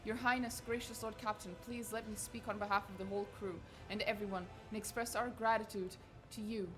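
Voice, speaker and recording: A woman's voice: mid-range voice, fairly even intonation, a little fast, lightly acted. A woman reading aloud the lines of a fawning young male crew spokesman, in flowery, formal praise. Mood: effusive. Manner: reads game dialogue aloud, flowery and formal.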